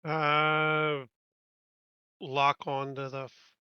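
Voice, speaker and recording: A man's voice: fairly high pitch, little pitch movement, drawn-out words, smooth, dry recording, dull audio. A man playing a tabletop game, chatting casually and giving his choices in a direct, decisive way.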